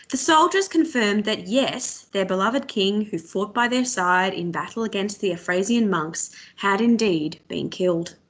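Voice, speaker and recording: A woman narrating a novel aloud for students in a measured, expressive storytelling manner. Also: mid-range voice. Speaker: teacher reading aloud to students.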